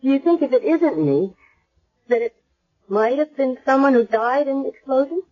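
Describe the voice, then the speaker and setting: A hesitant, troubled young woman in a 1970s radio drama. Her voice sits a little high with a breathy edge, on a dull vintage recording. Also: lively intonation. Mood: miserable, afraid. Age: young adult. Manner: hesitant, halting. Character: young amnesiac woman, afraid, unsure and miserable.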